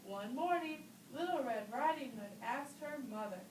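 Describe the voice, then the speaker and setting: A woman reads scripted narration for a children's puppet show. Her voice is high. She is recorded at a distance in a roomy space with faint noise. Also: expressive, moderate pace.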